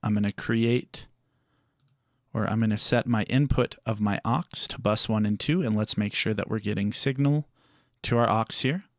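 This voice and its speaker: A man presenting a step-by-step audio software tutorial in a measured, explanatory way. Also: lowish voice.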